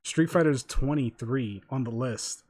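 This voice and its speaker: A man hosting a casual group discussion, reading out details in a measured way. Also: medium pitch, a little mellow, no room sound. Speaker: podcast host.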